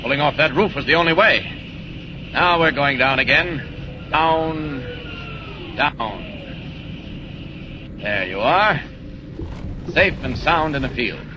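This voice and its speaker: Calm noble superhero, grave and steady, voice swings up and down a lot, hissy old radio play. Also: male voice, on the high side. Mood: reassuring. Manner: grave, measured, reassuring. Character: calm noble male superhero from a lost world. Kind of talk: 1940s radio acting. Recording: noisy old radio broadcast.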